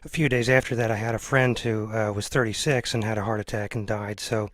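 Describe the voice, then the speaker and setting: A man's voice: fairly low pitch, fairly even intonation, brisk, no room sound, studio quality. A man hosting an interview show, chatting casually and earnestly. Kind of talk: casual conversation.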